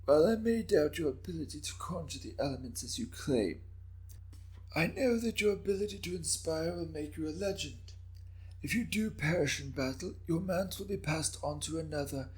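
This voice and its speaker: Man as elderly feudal lord, shrewd, gently amused, formal measured phrasing, slightly high voice, historical drama. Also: natural voice, poor audio. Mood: solemn. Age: older. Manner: measured, formal, courtly speech. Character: shrewd, courteous old Japanese daimyo, calmly amused. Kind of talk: dramatic reading of historical fiction.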